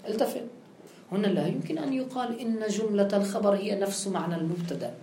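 A woman's voice: medium pitch, animated, fast, warm tone, a little hiss or hum. Woman, touch, patient lesson delivery, roomy echo. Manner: explains step by step, asks and answers her own questions. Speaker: Arabic grammar teacher. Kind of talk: classical grammar lesson. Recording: echoey room with faint ambience.

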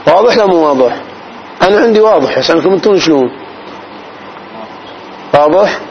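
A man teaches a classical grammar lesson in a slightly raised voice. He breaks each point down slowly and keeps asking his students whether it is clear. The recording sounds dull, with a faint hiss behind him. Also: high voice, animated. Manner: explains slowly, often checks the class's understanding.

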